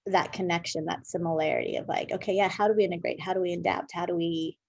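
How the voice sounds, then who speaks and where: A woman's voice: lowish voice, flat delivery, fast, vocal fry, darkish tone, no echo, dull audio. A woman with an American accent talking reflectively as an interview guest, thoughtful and stressing her key words. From Southern California, United States. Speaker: interviewed guest, dance artist and educator. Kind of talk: conversational interview, reflective explaining.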